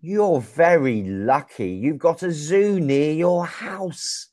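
A young man from England, teaching a young child over a video call in slow, clear, encouraging speech full of exclamations. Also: on the high side. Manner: slow, clear and lively, often exclaims and laughs. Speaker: online English teacher. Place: small room indoors. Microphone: video call with wired earphone mic.

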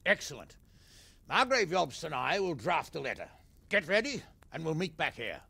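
An actor playing a blunt, earnest middle-aged nobleman in a video game cutscene, with a gravelly, husky voice. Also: fairly high pitch, very animated, moderate pace, sharp tone, full character voice.

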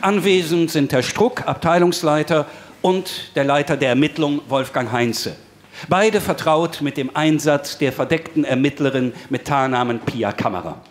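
Formal, curt male police spokesman, acted, slightly high pitch, audio drama press conference, faint background noise. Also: moderate pace, close to an everyday voice.

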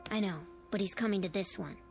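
A woman playing a calm, insistent, knowing little girl in a radio drama. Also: medium pitch, fast, creaky, lightly acted. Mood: uneasy. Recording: music under the voice.

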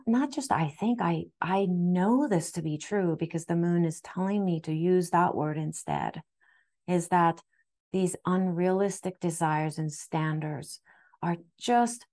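Woman with a low voice, first language Japanese, soft and earnest spiritual talk, home webcam. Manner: soft, earnest, with frequent restarts and fillers. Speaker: spiritual astrology guide. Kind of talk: gentle spiritual talk to camera. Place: home room indoors. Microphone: webcam framing, seated facing camera.

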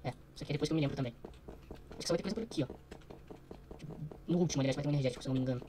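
Young adult Brazilian male gamer, quick casual muttered commentary, slangy, noisy background. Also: on the high side. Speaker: gaming streamer. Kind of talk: casual let's play commentary.

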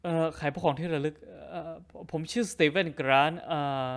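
A man's voice: fairly high pitch, fairly even intonation, fairly quick, close to an everyday voice. A man voicing a meek, stammering gift-shop seller, nervous and pleading, in a film dub style. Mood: panicked.

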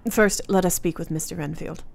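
A woman's voice: fairly low pitch, fairly even intonation, fairly quick, creaky, background noise. A woman playing a polite, composed, inquisitive young wife in a radio play.

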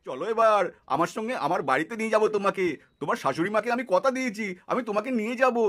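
Man playing a high-pitched, sly cartoon villain. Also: animated, very fast, a bit bright. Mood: wheedling, menacing. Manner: wheedling, pushy. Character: sly, lecherous male snack-seller villain kidnapping a woman. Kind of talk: puppet cartoon acting.

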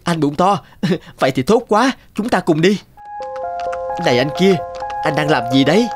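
A man's voice: on the high side, animated, normal speed, over music, close to an everyday voice. A man voicing a brave, kind-hearted young prince in a fairy-tale cartoon, earnest and polite. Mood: resolute. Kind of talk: animated fairy-tale dubbing.

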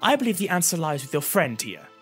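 A man's voice: on the high side, wildly swooping pitch, fast, fairly bright tone, husky, faint music behind, voice pushed up, exaggerated voice. A man voicing a solemn, foreboding male seer who speaks formally and emphatically in a fantasy story. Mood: earnest. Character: grave, foreboding male time-travelling seer.

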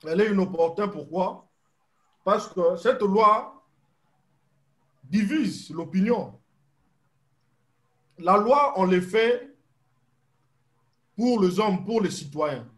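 A young man, a guest activist, arguing his point in a political debate over a video call, slow and insistent. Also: high-pitched, expressive, fairly bright tone.

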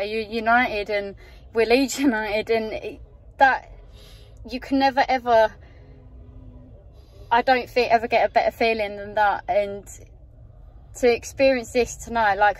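A woman's voice: mid-range voice, poor audio. A young woman talks into a phone held at arm's length inside a car at night. Her voice wavers with tears as she gushes with emotion, caught by the small phone mic. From England, outside Yorkshire. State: teary. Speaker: football fan. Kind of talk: emotional vlog to camera. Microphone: phone camera held at arm's length.

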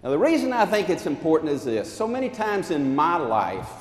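An older man preaching a sermon in a large church sanctuary, animated and pacing, lifting his arms as he speaks. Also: on the high side, brisk. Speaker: pastor. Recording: echoey church from a distance.

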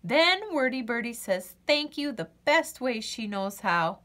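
Female children's story reader: high, bright, unhurried, expressive delivery. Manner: expressive, deliberate phrasing. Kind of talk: animated storybook narration.

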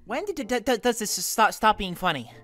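A woman voicing a gentle, devoted, nerdy young man with a heavy stutter in a comic dub. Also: medium pitch, sing-song, moderate pace. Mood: cheerful, affectionate. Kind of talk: amateur comic dub acting.

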